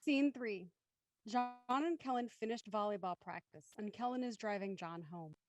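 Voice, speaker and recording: A woman's voice: medium pitch, normal speed, faint music behind. A woman reading stage directions as the narrator of a scripted audio play.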